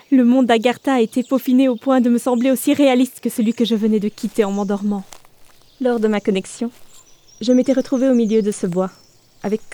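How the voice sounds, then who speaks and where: Female actor as awed novice archer, fairly high, very mellow, savouring descriptive monologue, fantasy audio fiction. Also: lightly acted. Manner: savouring, descriptive, hushed wonder. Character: wonderstruck young female novice archer in virtual world.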